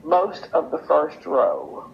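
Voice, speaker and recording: A woman's voice: low-pitched, huge pitch swings, slow, gravelly. An older woman, telling anecdotes in a casual, chatty way as an interview guest. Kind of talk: casual storytelling. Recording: muffled lo-fi audio with noise.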